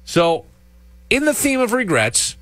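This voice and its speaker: A man's voice: high-pitched, wildly swooping pitch, unhurried, fairly bright tone, dry recording. A man hosting a rock radio show, chatting conversationally and with animation between songs. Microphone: close studio broadcast microphone. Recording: music playing behind. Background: music.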